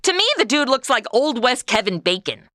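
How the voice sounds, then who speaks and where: A woman's voice: a little high, sing-song, fairly quick, pushed and bright, a little hiss or hum. A woman playing a sarcastic, wisecracking modern young woman in an old west comedy audio drama. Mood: wry. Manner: sarcastic, quippy. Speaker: title character.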